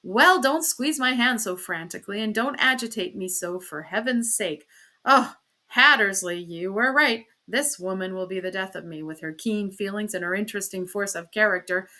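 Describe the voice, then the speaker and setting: A woman's voice: medium pitch, moderate pace, sharp tone, close to an everyday voice. A woman voicing a self-pitying, dissolute husband in an audiobook, theatrically aggrieved, sarcastic and languid. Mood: impatient, petulant.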